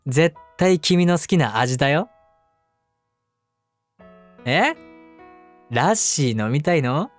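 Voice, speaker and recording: A man acting as a devoted young husband, speaking gently and intimately to the listener as his wife in an audio drama. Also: a little high, animated, slow pace, long pauses. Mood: gentle, caring. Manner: gentle, affectionate, softly chuckling between phrases. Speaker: voice actor. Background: background music.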